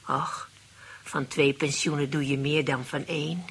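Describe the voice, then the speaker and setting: Very raspy, cynical old widow. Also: female voice, low voice, fairly even intonation, a little slow, full character voice. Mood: matter-of-fact. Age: older. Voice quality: raspy, creaky. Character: dry, cynical elderly widow who distrusts men. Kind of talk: radio play acting.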